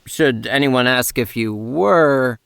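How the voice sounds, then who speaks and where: An actor playing a nervous, fussy male steward in an audiobook, haughty and quick to sputter with outrage. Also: medium pitch, gravelly, a bit bright, smooth, dry recording, clean studio sound. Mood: haughty, flustered. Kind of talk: full-cast audiobook acting.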